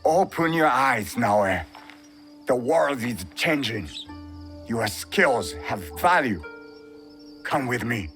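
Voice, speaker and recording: An actor playing a grave older Japanese warrior mentor in a video game cutscene, speaking in a measured, cryptic, solemn way. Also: male voice, mid-range voice, expressive, a bit bright. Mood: urging. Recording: music behind the dialogue.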